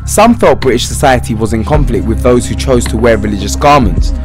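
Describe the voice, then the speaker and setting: Young male narrator, mid pitch, steady statistical voice-over with music underneath. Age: young adult. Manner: measured, reading statistics. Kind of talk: documentary narration. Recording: voice-over with clear music behind.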